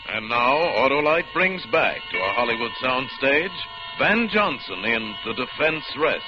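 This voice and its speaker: A man's voice: mid-range voice, sing-song, unhurried. A man announcing a 1950s radio show in a theatrical, formal scripted style. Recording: muffled vintage radio with music.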